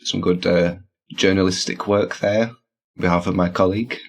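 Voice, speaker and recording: A man's voice: sits low, flat delivery, moderate pace, creaky. A man co-hosting a podcast, chatting casually and dropping short, dry remarks. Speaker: podcast co-host. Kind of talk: casual chat.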